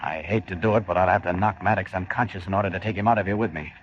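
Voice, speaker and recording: A man's voice: sits low, racing, lightly acted. A resolute superhero man acted by a man from a 1940s radio adventure serial. He thinks aloud in confident, clipped declarations. The old recording is dull and plainly noisy. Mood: hushed resolve.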